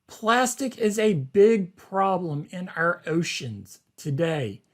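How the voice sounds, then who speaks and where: A man, a teacher reading an article aloud to learners and explaining it in simple, deliberate phrasing. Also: high voice.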